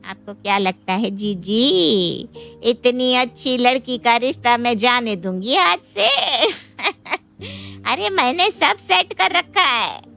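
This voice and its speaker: A bustling younger-sister matchmaker, played by a woman pitches her case in a high voice over faint music. She is boastful and persuasive, gushing through storybook dialogue. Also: takes it slowly, creaky, full character voice. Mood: proud. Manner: gushing, persuasive, animated phrasing. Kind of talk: dramatised Hindi moral story dialogue.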